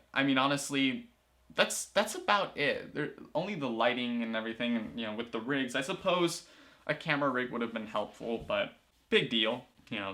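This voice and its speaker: Bright-voiced teenage boy vlogging. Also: medium pitch. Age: teens. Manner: rambling, relaxed, many fillers and restarts. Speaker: student filmmaker and vlogger. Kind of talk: casual talk to camera. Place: small room, indoors, in a corner.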